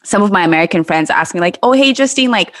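A woman's voice: medium pitch, lively intonation, fast, fairly bright tone, no echo. A woman from Kenya co-hosting a podcast, talking in a casual, reflective and affirming way. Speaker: podcast co-host.

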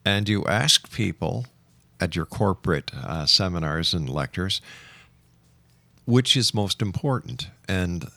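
A man hosting a radio interview show, speaking in a measured, emphatic way with deliberate pauses. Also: sits low, lively intonation, gravelly, sharp tone, no echo. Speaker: radio talk show host.

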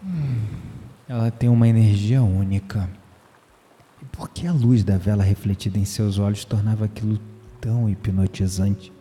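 An actor playing an amiable, self-deprecating, introspective man in an audio drama, speaking Brazilian Portuguese in a measured, reflective way. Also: lowish voice, dark tone, slightly rough. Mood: intrigued, longing. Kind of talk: audio drama dialogue and inner monologue.

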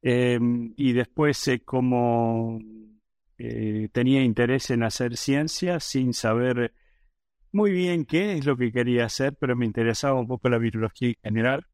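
A middle-aged man with an Argentine accent answers questions as a guest expert. He hesitates often and restarts his sentences, and his voice sits at an ordinary pitch. The recording is dry and close. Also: normal speed, darkish tone, no echo. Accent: Argentine Spanish. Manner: thoughtful, many hesitations and restarts. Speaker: virology professor, guest. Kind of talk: interview answers.

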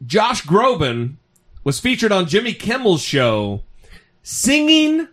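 A man's voice: sits high, takes it slowly. A man hosting a podcast in casual comedic chat, emphatic and given to swearing. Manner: animated, emphatic, profane. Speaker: podcast host.

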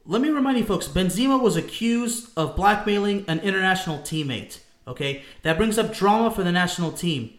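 A man's voice: high-pitched, lively intonation, brisk, hardly any pauses, roomy sound. A man from France co-hosting a sports talk show, emphatic and repetitive, calling listeners "folks". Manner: emphatic, repeats himself, addresses listeners as folks. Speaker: podcast co-host.

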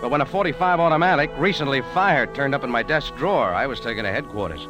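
An actor playing a tough, sardonic American bar owner in a hardboiled 1940s radio drama style. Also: male voice, medium pitch, quick pace, close to an everyday voice. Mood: hardboiled narrating. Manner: sardonic, clipped. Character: tough, wisecracking American bar owner narrating his adventure. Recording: old radio drama recording, music underneath.

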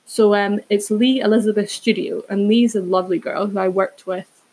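A woman's voice: medium pitch, steady tone, normal speed, darkish tone, breathy edge, rough recording. A woman, chatting casually as a solo podcast host in a rambling way with self-aware asides. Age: 20s.

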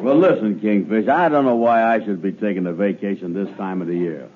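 Deep-voiced, gullible pal. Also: male voice, a little fast, breathy edge. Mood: doubtful. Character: gullible, self-assured, slow-witted male pal. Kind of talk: 1950s radio comedy acting. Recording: muffled, hissy old radio recording.

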